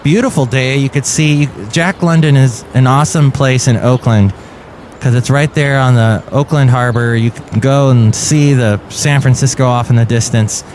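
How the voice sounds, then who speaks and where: A man hosting a comedy podcast, rambling jokily with deadpan asides. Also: medium pitch, normal speed, slight background noise. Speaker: podcast host.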